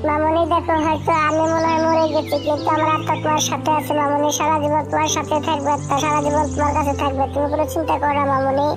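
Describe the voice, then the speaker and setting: A caring cartoon mother bird, voiced by a woman, high in pitch and fairly even. She admonishes quickly, with music behind her. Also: close to an everyday voice. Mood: tender, reassuring. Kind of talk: children's cartoon story dialogue.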